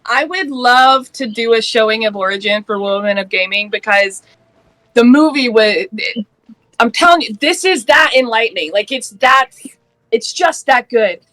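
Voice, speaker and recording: A woman's voice: fairly high pitch, animated, moderate pace, a bit bright, no echo, low-quality recording. A woman in her late thirties from Georgia, talking earnestly and passionately in a casual panel discussion, laughing now and then. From Georgia, US Deep South. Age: 30s.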